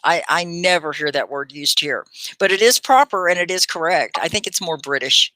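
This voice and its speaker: A woman's voice: lowish voice, lively intonation, moderate pace, pushed and bright, no room sound. A middle-aged woman from Texas hosting a live online English lesson, explaining with animation and laughing often. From Texas, USA. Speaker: ESL teacher and show host.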